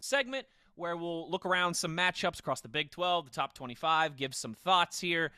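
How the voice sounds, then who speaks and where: An American man in his thirties hosts a football podcast with upbeat energy. His voice is bright and forceful and sits slightly high. The sound is tight and dry, with little room around it. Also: normal speed, clear, resonant tone, no room sound, faint music behind. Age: 30s. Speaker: sports podcast host. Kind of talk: upbeat football podcast chat and segment hosting.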